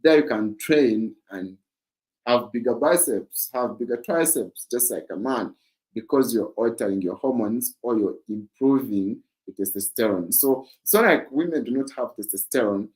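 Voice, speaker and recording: A man with a deep voice hosting a fitness livestream, explaining points in plain casual chat. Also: animated, normal speed, darkish tone, low-quality recording. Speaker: livestream host.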